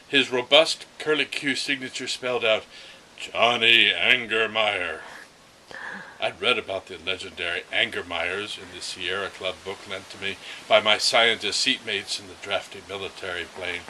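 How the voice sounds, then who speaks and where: A man's voice: on the low side, normal speed, bright, forceful tone, a little hiss or hum, rough recording. A man reading a first-person literary story aloud, expressively and with the occasional stumble. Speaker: reader of a story.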